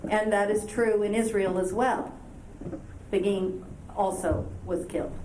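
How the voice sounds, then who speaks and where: A woman's voice: mid-range voice, fairly even intonation, a little slow. A woman from Palestine in the audience of a university lecture, pressing the speaker with a long, persistent question full of fillers and restarts. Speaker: audience member asking a question. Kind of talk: question from the floor. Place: lecture hall. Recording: distant, roomy, noisy old lecture-hall tape.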